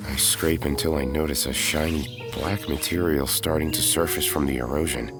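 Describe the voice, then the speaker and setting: A man's voice: very deep voice, steady tone, normal speed. A man giving scripted narration for an audio drama, telling the story in the present tense. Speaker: narrator and lead character. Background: music.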